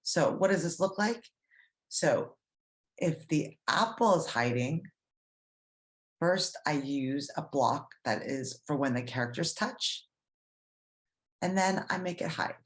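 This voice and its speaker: Woman, children's teacher, read-aloud lesson, low pitch, slow pace, simple questioning delivery. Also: lively intonation. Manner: simple explanations, asks the listeners questions. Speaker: coding teacher reading a story aloud.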